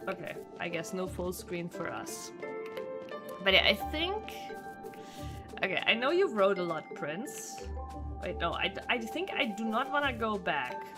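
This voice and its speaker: A woman game streamer chatting casually while she plays, rambling and thinking out loud. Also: medium pitch, sing-song, a little slow. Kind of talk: casual livestream chat while playing. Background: game music.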